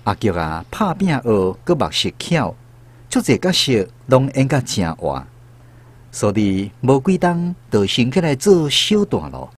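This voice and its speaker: A man's voice: mid-range voice, huge pitch swings, measured pace. A man with a Taiwanese accent narrating a radio drama as a storyteller. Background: music.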